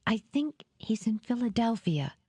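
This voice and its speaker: A woman's voice: fairly high pitch, big pitch swings, unhurried, mellow, husky, no room sound, voice pushed up, heavily acted. A woman voicing a fragile young woman in an audiobook, anxious, polite and faltering as she speaks. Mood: fearful, faltering.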